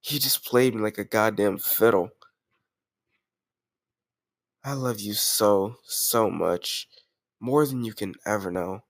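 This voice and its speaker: A man's voice: lowish voice, measured pace, no echo. Doting mafia boss boyfriend, acted by a man, close and dry. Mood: loving, proud. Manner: affectionate, self-assured, addressing a lover directly. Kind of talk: intimate roleplay audio, scripted romantic monologue.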